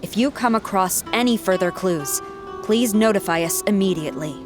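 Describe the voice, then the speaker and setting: An actress playing a stern, dutiful military commander, formal and authoritative, in a video game. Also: female voice, mid-range voice, moderate pace, close to an everyday voice. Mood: stern, businesslike. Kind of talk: game dialogue. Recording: clear music behind the voice.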